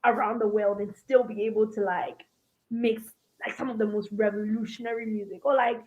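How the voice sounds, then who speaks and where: A woman from Ghana with an American accent, chatting casually as a podcast cohost in a lively way, with lots of "like.". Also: mid-range voice, fairly quick, highs cut off. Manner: animated, laughing easily, lots of "like". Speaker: podcast cohost and music maker.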